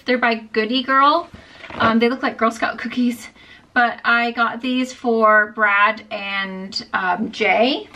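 A woman's voice: fairly high pitch, sharp tone, slight background noise. A woman in her thirties chatting casually through a haul in her home kitchen, upbeat and full of "like" and "um". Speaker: lifestyle vlogger.

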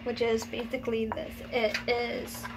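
A teenage girl talks through a recipe in her small home kitchen, her voice high and her pace slow as she hesitates and trails off. She is recorded on a phone sitting on the counter, with clear household noise underneath. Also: completely flat delivery. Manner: hesitant, chatty, trailing off mid-sentence. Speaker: home cooking vlogger. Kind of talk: casual cooking demonstration. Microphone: phone camera on the counter. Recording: home video with background noise.

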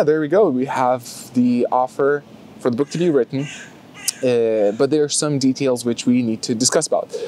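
A man's voice: medium pitch, a little mellow, a little hiss or hum. A young man with a Lithuanian accent, chatting to the camera outdoors on a grassy field, with lots of fillers and restarts. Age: young adult. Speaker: martial arts vlogger. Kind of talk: casual talk to camera. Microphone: camera close in front.

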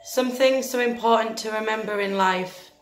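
A woman leading a guided meditation in a gentle, soothing, deliberate manner, leaving long pauses between phrases. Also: on the high side, a little hiss or hum. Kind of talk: guided meditation, scripted narration. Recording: roomy echo with faint music behind.

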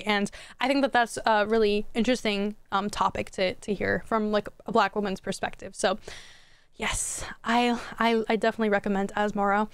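A young woman streamer talks into a boom-arm mic at home, her higher voice smooth and crisp despite getting tired from a long stream. She rambles chattily, restarting sentences as she goes, and the sound is dry and close. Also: no echo. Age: young adult. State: voice going hoarse from a long stream. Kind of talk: casual stream commentary. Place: home streaming room, indoors. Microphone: studio mic on a boom arm.

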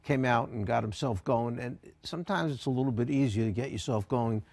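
A man in a TV studio breaking down game highlights as an analyst, animated and teasing. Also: a little fast, creaky, no room sound. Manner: animated, teasing, exclaims at replays.

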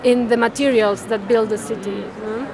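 A woman, talking haltingly and thoughtfully about her artwork, often tagging phrases with "no?". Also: a little high, fairly even intonation. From Mexico. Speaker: artist. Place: large indoor art fair hall. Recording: crowd chatter in a busy hall.

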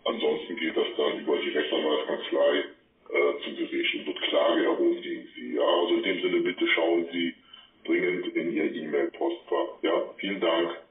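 A man speaking formally and haltingly as a debt collector leaving a stern payment demand by phone. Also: very deep voice, fairly even intonation. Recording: echoey narrowband phone message.

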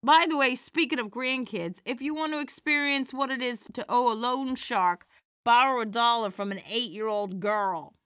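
A woman playing a feisty, warm-hearted elderly stand-up comedienne in an audio drama, with wry theatrical comic delivery. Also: fairly high pitch, normal speed, smooth, no echo, studio quality, natural voice. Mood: wry, playful.

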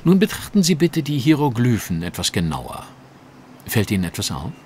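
An actor playing a confident, sardonic gentleman detective who instructs and teases dryly in an audio drama. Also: mid-range voice, big pitch swings, moderate pace, a little hiss or hum, natural voice. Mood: composed, instructive. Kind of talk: German audio drama acting.

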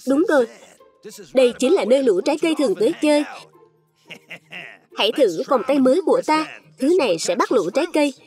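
Woman voicing a high-pitched, boastful cartoon villain. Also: expressive, a little fast, pauses often, darkish tone, faint music behind. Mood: gloating. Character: scheming villainous man hunting fruit creatures for jam. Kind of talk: cartoon dub.

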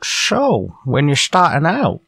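A man's voice: a little high, wildly swooping pitch, takes it slowly, vocal fry, fairly bright tone, husky, no echo, exaggerated voice. A man playing a folksy old prospector and former ranger in a western radio drama, with an exclamatory frontier way of talking. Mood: surprised, agreeable.

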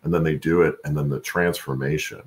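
A middle-aged man with an extremely low, gravelly voice. He speaks as an interview guest over a video call, in an earnest, halting, conversational way. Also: fairly even intonation. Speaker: guest, supply chain expert and voice-over announcer. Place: room at home, indoors.

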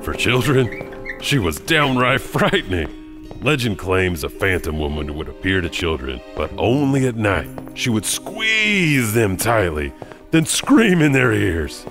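A man narrates eerie legends in a scripted storyteller's style. His voice sits low, with loud music running underneath it. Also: big pitch swings, unhurried. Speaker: narrator and host of an urban legend anthology.

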